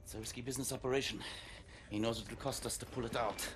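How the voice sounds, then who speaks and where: An actor playing an earnest, protective German resistance man with a German accent in a video game cutscene, gentle in manner. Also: medium pitch, fairly even intonation, fast, natural voice. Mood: protective, explaining.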